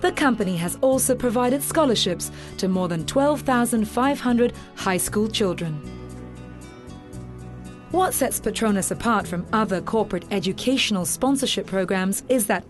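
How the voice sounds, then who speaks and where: A woman giving scripted documentary narration in a measured, formal way. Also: medium pitch.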